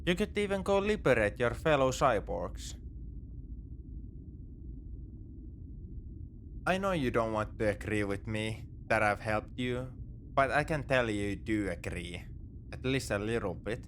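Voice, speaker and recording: A man's voice: lowish voice, animated, moderate pace, fairly bright tone, slight background noise. A man playing a morally grey space pirate captor in a sci-fi ASMR roleplay, talking in a casual, wry, coaxing way. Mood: encouraging, playful. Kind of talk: second-person sci-fi ASMR roleplay acting.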